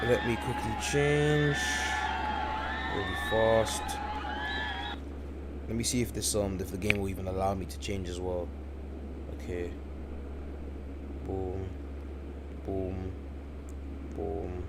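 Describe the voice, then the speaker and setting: A man's voice: low voice, steady tone, takes it slowly, pauses often, over a noisy crowd, heavy background noise. A man commentating a live-streamed game event late at night, tired but hyped, repeating himself a lot. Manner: hyped and repetitive, keeps saying "you guys". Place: home, in the UK.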